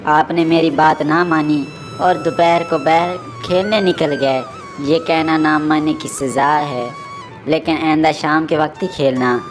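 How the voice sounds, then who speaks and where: A low-pitched caring mother played by a woman in a children's cartoon moral story. She admonishes her young son in a gentle, instructive way. Music plays clearly behind her. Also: huge pitch swings, unhurried, heavily acted. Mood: gentle scolding.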